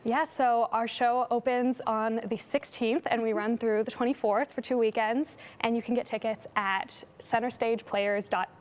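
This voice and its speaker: A woman's voice: on the high side, fairly even intonation, moderate pace, hardly any pauses. A woman answering conversationally as a theater cast member and interview guest.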